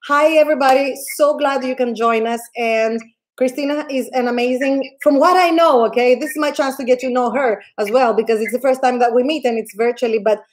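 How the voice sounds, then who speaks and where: A woman's voice: sits high, steady tone, a little fast. Gushing woman host. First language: Greek. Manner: effusive, enthusiastic, with frequent restarts. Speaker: host of a live online interview. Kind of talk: casual livestream interview.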